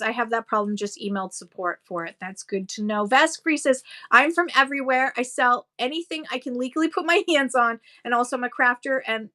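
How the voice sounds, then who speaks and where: A woman's voice: a little high, bright, forceful tone. A Canadian woman hosting a seller livestream, chatting casually and reading viewer comments aloud with frequent ums. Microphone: phone.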